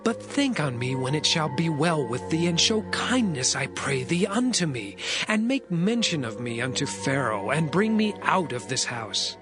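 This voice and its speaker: Man acting earnest young Hebrew prophet, lively pitch. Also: normal speed. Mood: earnest, solemn. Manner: solemn, archaic scriptural phrasing. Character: Joseph, young Hebrew prisoner, earnest dream interpreter. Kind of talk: dramatized Bible audio acting. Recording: music behind the voice.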